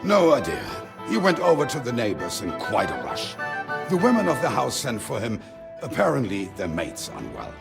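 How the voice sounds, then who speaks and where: Casual medieval townsman in a game, creaky voice, swinging intonation, offhand yet helpful. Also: medium pitch, wildly swooping pitch, moderate pace, loud music behind the voice. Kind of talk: video game cutscene acting.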